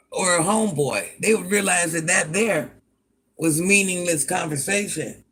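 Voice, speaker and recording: Deep-voiced granny streamer, sassy, bright forceful delivery. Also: female voice, breathy edge. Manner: sassy, talks back to commenters by name. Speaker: livestream host. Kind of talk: casual livestream chat.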